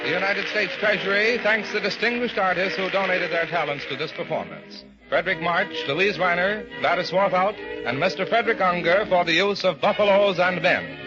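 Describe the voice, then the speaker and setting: A man's voice: high-pitched, moderate pace, hardly any pauses. A man reading scripted credits as a formal, declarative 1940s radio announcer. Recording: muffled old radio recording, music behind.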